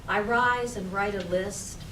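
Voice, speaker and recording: A woman poet reading her own poem aloud at a live reading, in measured, deliberate phrases. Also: mid-range voice. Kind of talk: poetry recitation at a live reading. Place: public library reading room. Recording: roomy, distant mic, noisy background.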